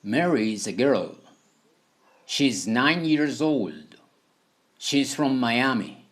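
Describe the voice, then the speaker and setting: A man reading a scripted beginner language lesson as a teacher, deliberately delivering short example sentences phrase by phrase. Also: medium pitch, sing-song, a bit bright. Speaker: English teacher.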